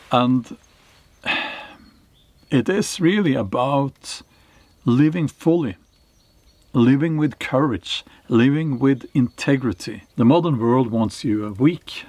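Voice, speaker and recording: A middle-aged Norwegian man speaking English with a Norwegian accent, giving a slow, reflective monologue to camera, with pauses and fillers. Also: medium pitch, a little mellow, no echo. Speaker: channel host, historical novelist. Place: small cave in a forest.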